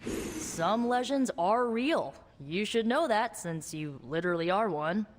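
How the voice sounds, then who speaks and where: Woman playing a lively, wry young sorceress. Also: medium pitch, measured pace, slight background noise, natural voice. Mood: intrigued, earnest. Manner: breezy, conversational, playful. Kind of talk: video game cutscene acting.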